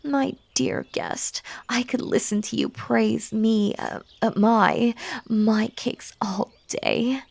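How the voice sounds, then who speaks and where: Breathy, clingy yandere bunny girl, acted by a woman. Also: a little high, slow, darkish tone, dry recording, full character voice. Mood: obsessive, adoring. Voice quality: breathy, dropping into whispers. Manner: coaxing, gushing, stammering, abruptly stern. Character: clingy, unhinged yandere magical bunny woman, sweetly menacing. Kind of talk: roleplay audio drama acting.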